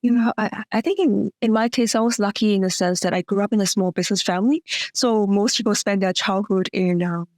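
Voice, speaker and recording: A woman's voice: mid-range voice, fairly even intonation, brisk, a little mellow, no echo, clean studio sound. A woman from Singapore, a guest on an interview podcast, talking conversationally with "like" and "um" fillers. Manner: chatty, uses "like" and "um" fillers.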